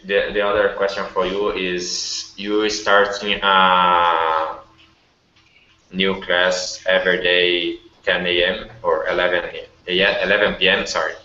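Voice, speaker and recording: A very deep-voiced Brazilian man speaks English with a Portuguese accent, halting as he looks for words. His tone is bright and forceful, in an echoey room. The call audio is dull with faint background noise. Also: level tone. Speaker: student. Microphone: video call.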